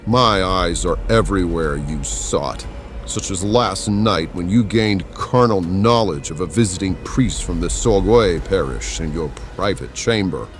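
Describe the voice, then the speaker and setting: Man voicing a slow, deep, menacing medieval cardinal. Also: soft background music. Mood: accusing. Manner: slow, commanding, pompous. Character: menacing, all-seeing medieval cardinal with godlike arrogance. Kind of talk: dramatic audiobook character acting.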